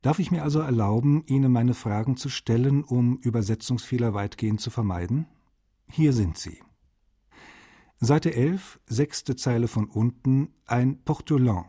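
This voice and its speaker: An actor playing a courteous, meticulous male translator, reading formal letters aloud in a precise, polite, slightly husky voice for a radio feature. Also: mid-range voice, a little slow, warm tone.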